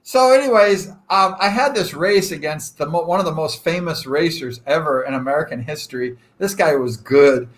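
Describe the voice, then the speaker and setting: A man around sixty from Southern California who hosts a relaxed live chat, telling long wandering stories full of "uh" and "like." He jokes at his own expense in a friendly way. His speaking voice sits a little higher than most men's. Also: normal speed, hardly any pauses. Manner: rambling and chatty, self-deprecating joking, frequent fillers. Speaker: live-stream host. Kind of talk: casual live chat with viewers.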